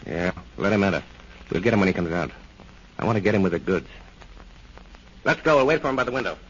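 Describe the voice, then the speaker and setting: A man's voice: low-pitched, animated, quick pace, fairly bright tone, husky, full character voice. A man playing a shrewd, stern police captain in a 1930s radio crime drama, with a clipped, commanding delivery. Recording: muffled 1930s radio recording.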